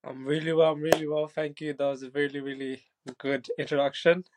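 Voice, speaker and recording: A young fighter in his twenties from Bangladesh speaks in a relaxed, chatty way, often checking in with his listener. His voice sits slightly higher than most men's. He sounds easygoing and confident. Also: a little fast. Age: 20s. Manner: laid-back, repeats "do you know what I mean", laughs easily. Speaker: Muay Thai fighter, guest. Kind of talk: casual interview chat.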